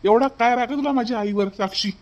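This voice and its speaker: A man's voice: very high-pitched, fast. A man voicing a stern, upset young husband who scolds in a cartoon family drama. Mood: accusing.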